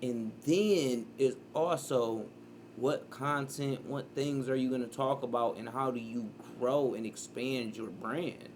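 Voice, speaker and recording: Thirty-something guy, rambly reaction talk, gets loud and excited, light hiss behind. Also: medium pitch, slow pace. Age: 30s. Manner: animated, rambling, breaks into loud outbursts. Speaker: streamer reacting to a clip. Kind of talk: casual reaction commentary.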